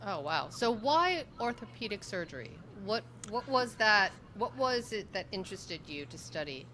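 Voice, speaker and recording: A woman hosts a conversational interview in a mid-range voice. She is effusive, repeating words to stress them. There is a faint low noise behind her. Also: very animated, normal speed, sharp tone. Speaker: interview host.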